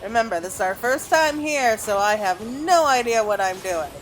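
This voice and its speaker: A woman's voice: fairly high pitch, lively intonation, normal speed, slight background noise, poor audio. A woman giving casual, chatty commentary as she plays a game, reacting aloud as she goes. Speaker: gamer recording a playthrough.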